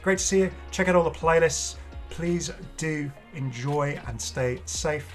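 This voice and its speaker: A man's voice: a little high, measured pace, faint music behind. A man hosting a gaming channel, giving casual, unscripted commentary in a chatty, enthusiastic way and prone to exclaiming. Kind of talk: casual unscripted reaction commentary on game cosmetics.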